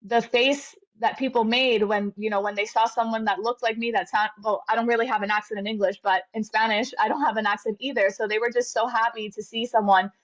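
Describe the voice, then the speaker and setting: A woman's voice: a little high, steady tone, a little fast, few pauses. A woman in her thirties, a guest in an interview, tells her story in an earnest, reflective way, with many fillers and restarts. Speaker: interview guest, medical graduate. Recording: slightly dull lo-fi audio.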